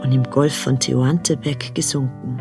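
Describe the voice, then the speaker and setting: A woman's voice: a bass voice, fairly even intonation, moderate pace. A woman narrating a radio play as a sober storyteller. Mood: sober, mysterious. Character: sober female storyteller recounting a mysterious life. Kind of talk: scripted radio drama narration. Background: plucked guitar music.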